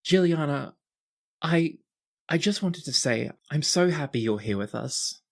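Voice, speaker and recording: A man's voice: a little high, animated, a little slow, vocal fry, pitched above the performer's own voice, exaggerated voice. A man puts on an earnest young princess for a tabletop fantasy game. She speaks in effusive, formal courtly phrases, sweet and proper with mischief underneath. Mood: eager, warm.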